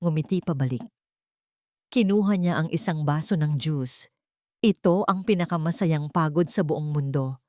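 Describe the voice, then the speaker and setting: A woman's voice: low-pitched, moderate pace, warm tone, no echo, clean studio sound. A woman reading a scripted, dramatic story as narrator.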